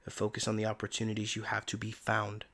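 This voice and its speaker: Low-voiced man giving a gentle tarot reading. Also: monotone, quick pace. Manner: soft, meandering and reflective, with many fillers. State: voice giving out, tired by day's end. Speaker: tarot reader and channeler. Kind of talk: casual spiritual tarot reading.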